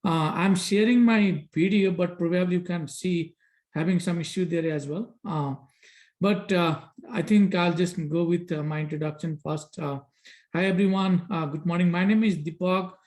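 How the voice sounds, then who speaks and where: A man from Nepal in his thirties speaks on a video call with a fairly high pitch. He talks haltingly, filling pauses with "uh". He introduces himself plainly to a student audience. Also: steady tone, a little mellow. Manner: halting, many "uh" fillers. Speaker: process engineer on a career panel. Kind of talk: casual spoken introduction over video call.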